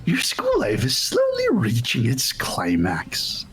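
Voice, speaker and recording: Wildly swooping, taunting cartoon mascot bear. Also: male voice, mid-range voice, huge pitch swings, takes it slowly, a bit bright, a little hiss or hum, exaggerated voice. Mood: gleeful taunting. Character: cutesy, sadistic, taunting mascot bear running a death game.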